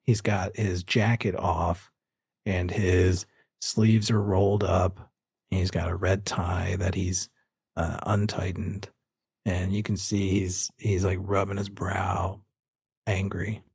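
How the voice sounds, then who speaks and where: A man narrating a tabletop roleplaying game as game master, unhurried and descriptive, leaving pauses as he improvises. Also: deep voice, level tone, gravelly, darkish tone, husky, no room sound, studio quality.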